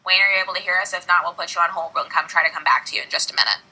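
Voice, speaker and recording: A woman's voice: lowish voice, fairly even intonation, racing, sharp tone, slightly rough. A woman hosting a live call-in broadcast, moderating callers. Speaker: call-in show host.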